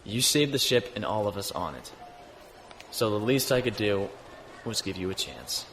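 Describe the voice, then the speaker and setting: A man's voice: on the low side, sing-song, normal speed, a bit bright, a little hiss or hum. A man playing an easygoing fugitive starship captain in a science fiction audio drama, with a casual, understated delivery. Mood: wry, gentle. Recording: music bed behind the voice.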